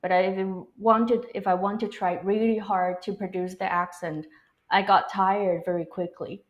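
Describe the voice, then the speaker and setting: A woman's voice: mid-range voice, steady tone, moderate pace, lo-fi sound. A woman from Taiwan, a native Mandarin speaker, chatting casually on a livestream in English with an American-influenced Taiwanese accent. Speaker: language teacher co-hosting a livestream. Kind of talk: casual live-stream discussion.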